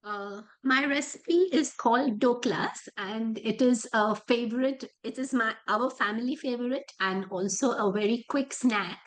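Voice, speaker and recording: A woman with a croaky, hoarse voice presenting a cooking class over a video call, explaining in a homely way. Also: a little high, measured pace, hardly any pauses, poor audio. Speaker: cooking consultant.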